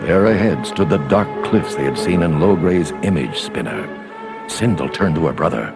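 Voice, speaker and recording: A man narrates a children's storybook in a low, animated voice. His delivery is dramatic and scripted, carried along on prominent background music. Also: normal speed, creaky.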